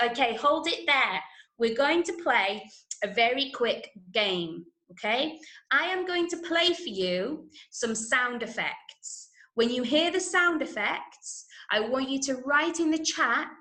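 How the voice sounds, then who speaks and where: A woman with an English accent teaching an interactive online drama workshop, giving clear instructions and encouraging pupils as she repeats their answers. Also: fairly high pitch, pushed and bright. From Manchester, UK. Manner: clear step-by-step instructions to children. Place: room, online video lesson. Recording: echoey room sound.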